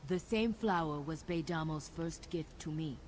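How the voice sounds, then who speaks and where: An actress playing a gentle female alien tribeswoman who speaks in halting, broken English, searching for words. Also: sits low, a little hiss or hum, close to an everyday voice. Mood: earnest. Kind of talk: video game voice acting.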